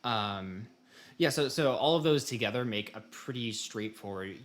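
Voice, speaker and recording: A man's voice: lowish voice, animated, a little slow. Wry, rambling American man chatting casually. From United States. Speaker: podcast host. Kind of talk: casual chat.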